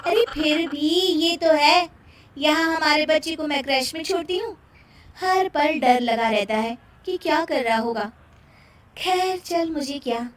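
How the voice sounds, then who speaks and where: A woman puts on a young female office friend for a cartoon tale. She is chatty and envious, with a high, emphatic voice. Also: measured pace, a little hiss or hum. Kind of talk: cartoon moral story acting.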